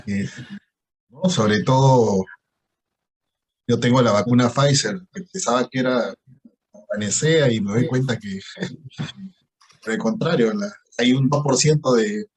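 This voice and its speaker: A man's voice: mid-range voice, slow pace, long pauses, husky. A man from Lima with a Peruvian Spanish accent asking a question at a talk, hesitant and full of fillers. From Lima, Peru. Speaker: audience member asking a question.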